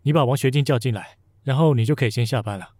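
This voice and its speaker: A man's voice: mid-range voice, quick pace, a little mellow, lightly acted. A man voicing a cool, spiteful young male general manager who speaks curtly. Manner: curt and dry. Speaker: voice actor in an audio drama. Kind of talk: modern audio drama acting.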